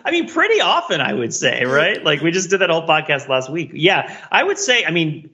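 Middle-aged American male podcast co-host, bright forceful voice, rambling and self-questioning, on slightly muffled audio. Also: a little high, big pitch swings, brisk. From United States. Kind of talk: casual podcast chat.

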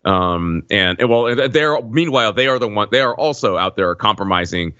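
A man's voice: on the low side, lively intonation, normal speed, bright, forceful tone, clean tone, no echo, lo-fi sound. A man in a casual film discussion, talking in an emphatic, nitpicking way as a podcast panelist.

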